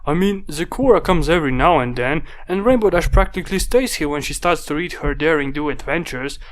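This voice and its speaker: A man's voice: on the high side, animated, a little fast, close to an everyday voice. A man voicing a chatty young male baby dragon assistant in a fanfic reading, close to his own voice.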